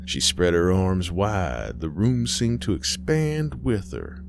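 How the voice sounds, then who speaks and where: A man's voice: sits low, big pitch swings, slow, gravelly. A man reading a horror story as narrator, in a dramatic scripted style. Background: music.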